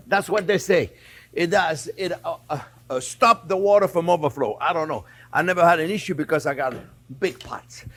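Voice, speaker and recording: A man's voice: sits high, fairly bright tone, slight background noise. A man from France with a French accent, hosting a cooking demonstration in a home kitchen, chatty and enthusiastic, calling his viewers friends. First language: Italian. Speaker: chef host.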